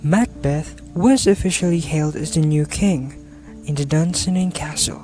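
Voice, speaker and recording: A man's voice: fairly high pitch, normal speed, dark tone, soft background music. A man reading scripted story narration for an amateur radio drama.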